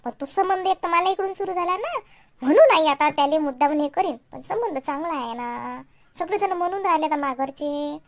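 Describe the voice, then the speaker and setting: A woman voicing a sharp-tongued, nagging village wife in a comedy cartoon, scolding and taunting with a Vidarbha Marathi accent. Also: high voice, animated, brisk. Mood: suspicious. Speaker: comic cartoon character.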